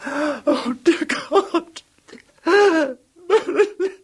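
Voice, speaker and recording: An actor playing an anxious, devoted, pleading husband in a 1970s radio horror drama, speaking hesitantly and stammering. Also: male voice, extremely high pitch, extremely slow, full character voice. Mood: frantic. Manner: hesitant, stammering, self-blaming. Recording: noisy old 1970s radio recording.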